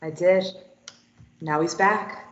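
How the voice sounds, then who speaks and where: A woman's voice: fairly low pitch, steady tone, slow pace. Woman acting sarcastic runaway woman, echoey room. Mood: bitter, resigned. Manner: sarcastic, dismissive. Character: bitter, self-deprecating young woman desperate to run away. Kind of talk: table read of a stage play. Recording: dull, roomy, echoey recording.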